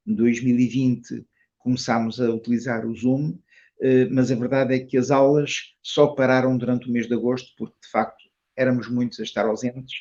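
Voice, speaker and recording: An older man with a European Portuguese accent answers interview questions reflectively as a teacher, in measured, carefully reworded phrases. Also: medium pitch, darkish tone, lo-fi sound. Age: older, retired. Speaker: Tai Chi and Qigong teacher, interview guest.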